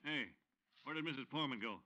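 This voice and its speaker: An actor playing a gruff, bossy, scornful police sergeant in a vintage radio drama, brusque and condescending. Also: male voice, medium pitch, lively intonation, fairly quick, bright, forceful tone. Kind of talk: 1950s-60s radio drama acting.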